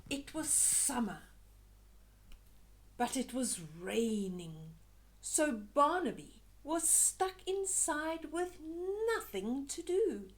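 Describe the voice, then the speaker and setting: An older woman reading a children's picture book aloud expressively, pausing to chat to young listeners about the pictures. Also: high voice, very animated.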